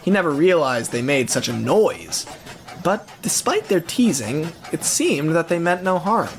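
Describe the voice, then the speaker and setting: A man narrating a scripted audio story in an expressive storytelling manner. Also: a little high, a little slow, a little hiss or hum.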